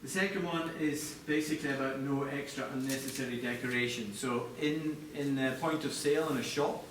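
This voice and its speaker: A man's voice: mid-range voice, steady tone, a little fast. Man presenting in a very echoey room. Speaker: chief designer presenting products. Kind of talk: product design presentation to a live audience. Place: large echoey indoor presentation space. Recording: echoey room, distant mic, background noise.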